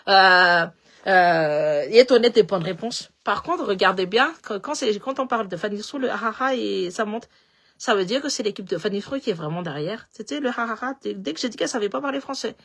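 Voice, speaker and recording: A woman with a slight Malagasy accent talking in a casual, animated way, repeating words as she goes and switching between languages. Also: mid-range voice, brisk. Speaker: political commentator. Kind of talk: casual live talk.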